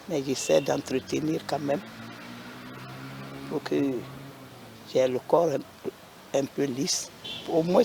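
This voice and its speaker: A woman's voice: low voice, darkish tone, a little hiss or hum. A woman, plainly giving her own advice in a TV testimony and repeating her points. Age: 80s. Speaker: interviewee. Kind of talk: testimony to a TV program.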